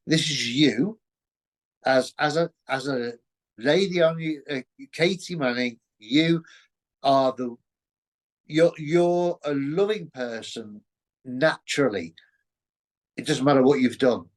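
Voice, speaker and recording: A man interviews in a casual, conversational way at a medium pitch. His delivery is halting, with words repeated as he works out each phrase. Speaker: interviewer, show host.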